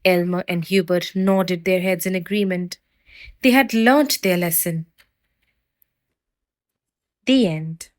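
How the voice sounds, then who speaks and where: A woman reading a children's fairy tale aloud with expressive storytelling. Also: lowish voice, slow, pauses often. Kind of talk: children's read-aloud narration.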